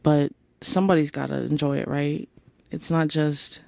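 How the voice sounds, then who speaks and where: A woman hosting a rambling solo podcast on her phone, sounding tired, with a raspy, deep voice and a chatty, playfully flirty way of talking, apologizing again and again. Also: little pitch movement, normal speed, background noise. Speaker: podcast host. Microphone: phone held close.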